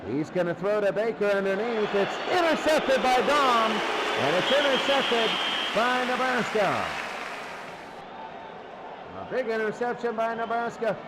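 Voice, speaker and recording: A man's voice: very high-pitched, lively intonation, a little slow, a loud crowd, very noisy. A man doing live play-by-play football commentary in short, clipped, repeated phrases. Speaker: play-by-play sports announcer.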